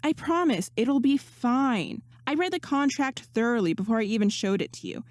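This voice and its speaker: A woman's voice: a little high, lively intonation, moderate pace, no echo, studio quality, pitched above the performer's own voice, close to an everyday voice. A woman voicing a confident, breezy young woman in a story, teasing and sure of herself. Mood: amused assurance. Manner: teasing, assured, sarcastic.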